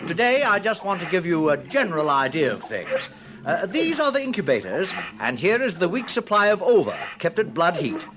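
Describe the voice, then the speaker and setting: A man playing a brisk, self-satisfied hatchery director, lecturing pompously in a 1950s radio drama. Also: sits high, very animated. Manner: pompous, lecturing, hesitating with small uhs. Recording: noisy vintage radio recording with clicks.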